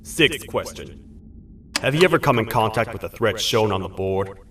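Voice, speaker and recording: An actor playing a massive, gruff toad sheriff who talks like a stern frontier lawman. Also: on the low side, expressive, moderate pace, fairly bright tone, slight background noise. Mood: interrogating. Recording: echoey game audio from a distance, light music.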